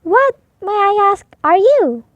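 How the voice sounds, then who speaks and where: A woman voicing an amused, polite adult elephant in a storybook, courteous and measured. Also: very high-pitched, sing-song, dry recording, lo-fi sound, pitched above the performer's own voice, heavily acted.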